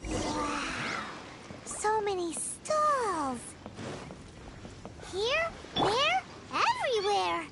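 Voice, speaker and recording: A woman voicing a tiny, curious, cheeky fairy girl with a squeaky childlike voice in an anime game. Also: big pitch swings, drawn-out words, pauses often, heavy background noise, full character voice. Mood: excited. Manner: speaks of herself in third person, exaggerated reactions.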